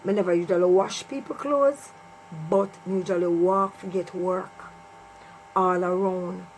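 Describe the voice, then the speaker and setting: A woman's voice: on the low side, fairly even intonation, a little slow, darkish tone, poor audio. A middle-aged woman with a Jamaican accent tells a personal story casually to viewers, repeating phrases for emphasis. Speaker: vlogger telling a personal story. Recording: clear mains hum and noise.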